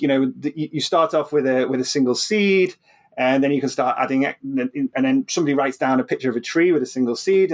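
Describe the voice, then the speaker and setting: A man explaining science informally as an interview guest, often repeating words and restarting, checking in with "right?". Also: on the high side.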